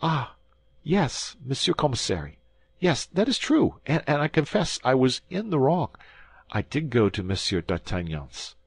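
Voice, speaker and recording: A terrified, wheedling landlord in a storybook reading squeals his protests in a high, quavering voice that leaps all over the place, as a man reading aloud puts him on. The voice is breathy around the edges, recorded close and dry. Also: sing-song, a little slow, dry recording, full character voice. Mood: pleading. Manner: shrill, frantic, high-pitched pleading. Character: frightened, pleading middle-aged male landlord.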